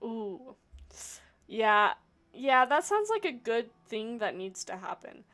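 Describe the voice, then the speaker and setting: A woman game streamer giving casual reaction commentary in an expressive, informal, giggly way. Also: fairly high pitch, slow pace.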